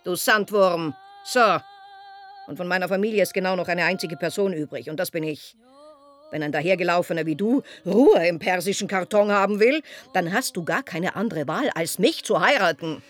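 A woman's voice: on the low side, normal speed, soft background music, close to an everyday voice. An actress playing an imperious, scornful Persian queen in a comic radio play, with a commanding, sardonic manner. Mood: haughty, threatening.

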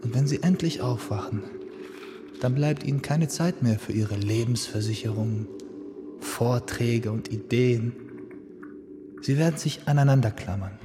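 An actor playing a lonely, brooding young man in a radio play, speaking slowly and often in a hush. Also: mid-range voice, music in the background, lightly acted. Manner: slow, brooding monologue, often hushed or whispered. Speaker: Kuno, lead in a radio play. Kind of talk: radio drama acting.

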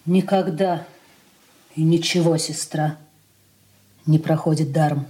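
An actress playing a stern, careworn elder sister giving warnings in a Soviet radio drama. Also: female voice, sits low, steady tone, slow pace, warm tone. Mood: urgent, reproving.

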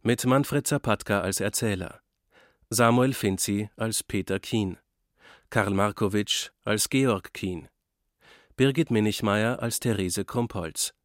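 Slow, low-voiced male radio announcer. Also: no room sound, clean studio sound. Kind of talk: scripted radio credits reading.

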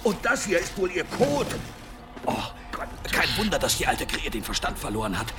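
A blunt, worried blacksmith in a radio drama. His slightly high voice is agitated and swings widely in pitch, with music clearly heard behind it. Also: huge pitch swings, normal speed. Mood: disgusted. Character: grown village blacksmith, worried, blunt and impatient. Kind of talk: German radio drama acting.

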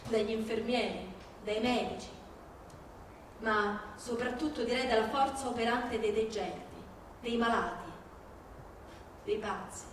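Echoey high-voiced woman reading theatrically. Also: a little hiss or hum. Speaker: stage actress reading. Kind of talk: theatrical scripted narration, reading-concert. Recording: echoey hall from far off, music behind.

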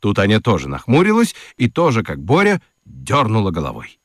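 A man narrating a children's radio play in an expressive, deliberate, wry storytelling style. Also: lowish voice, dry recording. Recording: dry studio with music underneath. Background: music.

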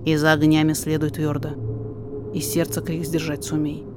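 A woman voicing a calm, commanding male fox sorcerer, measured and reassuring, in a verse audio story. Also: a little high, lightly acted. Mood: stern. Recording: music behind the voice.

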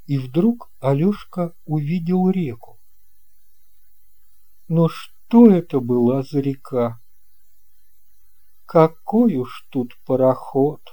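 A man narrating a children's story from a script in a measured, expressive storytelling manner. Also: a little high. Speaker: audiobook narrator.

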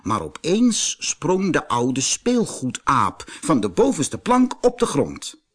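A man narrating a children's storybook in an expressive, deliberate manner. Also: on the high side, slightly rough.

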